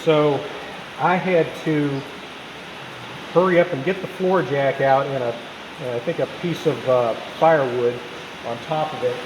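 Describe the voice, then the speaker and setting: A man giving an informal, hands-on explanation of machinery in a halting, methodical way, as host of a workshop video. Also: fairly high pitch. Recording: reverberant workshop with clear background noise.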